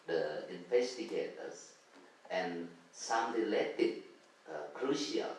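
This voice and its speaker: A man answering at a conference session, explaining earnestly and searching for words. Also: sits low. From Indonesia. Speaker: academic panelist. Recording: echoey conference hall, distant mic, faint noise.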